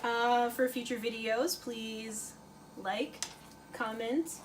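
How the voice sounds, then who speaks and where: A woman's voice: a little high, steady tone, takes it slowly. Chatty woman cooking in her kitchen. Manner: chatty, many fillers, talks while stirring. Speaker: cooking channel host. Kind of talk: casual cooking demonstration. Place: home kitchen. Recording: audible kitchen noise. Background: frying and stirring sounds.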